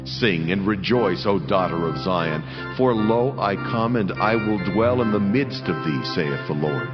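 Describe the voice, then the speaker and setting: An actor playing a solemn, commanding angel who proclaims God's word in a dramatized scripture reading. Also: male voice, low voice, expressive, measured pace. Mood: proclaiming. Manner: declamatory, proclaiming. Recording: muffled, under loud music.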